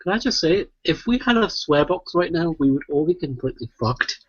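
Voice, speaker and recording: Playful man, very lively pitch. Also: fairly quick, dull audio, a little hiss or hum. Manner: playful, jokey. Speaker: podcast host. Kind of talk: casual, sweary podcast chat.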